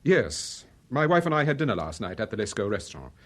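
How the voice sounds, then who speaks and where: A man playing a suave, mildly wry English gentleman detective in a radio drama, clipped and politely probing. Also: on the low side, big pitch swings, brisk.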